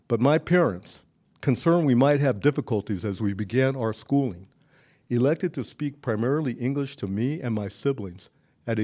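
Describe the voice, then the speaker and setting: American guy narrating his memoir, soft dark mellow tone, measured n articulate, medium pitch. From Los Angeles, United States. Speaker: author narrating personal memoir stories. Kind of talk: scripted narration, audiobook-like reading.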